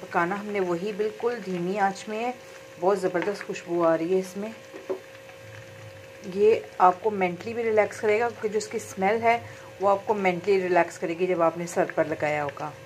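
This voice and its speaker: A woman's voice: medium pitch, moderate pace, slight background noise, low-quality recording. A woman who runs a beauty salon, chatting step by step through a homemade hair oil recipe in her kitchen, with small fillers as she works. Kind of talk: home cooking-style hair oil tutorial. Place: home kitchen at stove.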